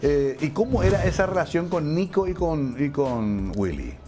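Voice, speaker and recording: A man's voice: a little high, lively intonation, moderate pace. A man hosting a late-night interview who is chatty and frequently nods along with short "claro" and "sí" replies. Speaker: talk show host. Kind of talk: relaxed interview conversation. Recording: faint music underneath.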